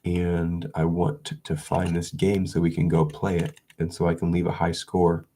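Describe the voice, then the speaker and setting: A man's voice: deep voice, monotone, moderate pace, a little hiss or hum. A man chatting casually to his viewers, congested and sniffly from an illness, rambling with frequent restarts. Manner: rambling, restarts, soft chuckles. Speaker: video creator. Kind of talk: casual vlog chat.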